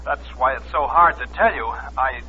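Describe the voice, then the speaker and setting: An actor playing an apologetic, hesitant man breaking off an engagement, halting and stammering in 1940s radio drama style. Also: medium pitch, bright, forceful tone, breathy edge, heavily acted. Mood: sheepish, anguished. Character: apologetic, hesitant man jilting his fiancée. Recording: dull, faintly noisy vintage radio recording.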